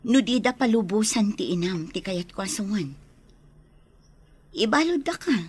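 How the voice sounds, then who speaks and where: A woman's voice: mid-range voice, lively intonation, moderate pace, husky. A woman with a Filipino accent playing a concerned, protective adviser in a radio drama.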